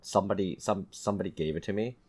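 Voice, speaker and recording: Guy with a lowish voice, podcast host telling stories, casual and animated. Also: fast talker. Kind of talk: casual chat, storytelling.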